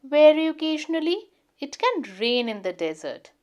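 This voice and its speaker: Woman reading high-voiced, patient storybook mum. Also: very animated, a little mellow. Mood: careful, correcting. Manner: measured, explanatory. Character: patient, knowledgeable mother explaining to her children. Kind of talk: children's storybook character reading.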